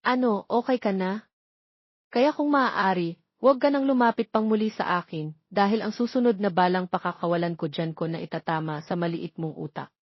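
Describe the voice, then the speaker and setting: A fierce, proud young woman played by a female narrator in an audio drama, speaking with sharp defiance. Her voice is a little husky, and the recording sounds slightly dull. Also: mid-range voice, normal speed, no room sound. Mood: scornful, threatening. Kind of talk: dramatic audio story dialogue.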